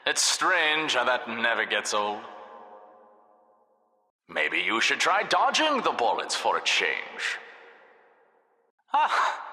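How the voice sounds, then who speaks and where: Smug evil lab scientist for a video game, super sharp voice, swoopy pitch, booming hall reverb. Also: male voice, heavily acted. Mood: gleefully sarcastic. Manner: condescending, deadpan sarcasm breaking into indignant outbursts. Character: gleefully sadistic, petty mad male scientist supervising tests. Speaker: game narrator. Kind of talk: theatrical video game narration. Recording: echoey hall with long reverb.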